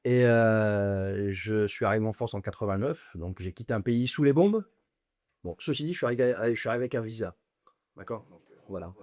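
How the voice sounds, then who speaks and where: A man's voice: fairly low pitch, a little fast, a little mellow, no echo, studio quality. A man in his forties from Lebanon, a podcast guest telling his life story casually and bluntly, with slang, many fillers and chuckles. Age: 40s.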